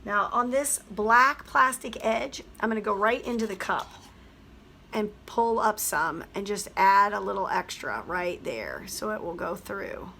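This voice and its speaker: Hoarse woman teacher, slightly gravelly, chatty step-by-step craft demo. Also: medium pitch, lively intonation, unhurried, sharp tone, slight background noise. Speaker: craft tutorial host. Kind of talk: craft tutorial voice-over.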